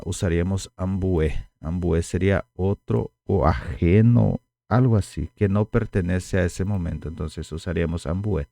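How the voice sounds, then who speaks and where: A man teaching a language lesson, speaking slowly and repeating each word for learners to copy, with Guarani as his first language. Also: sits low, warm tone, dry recording.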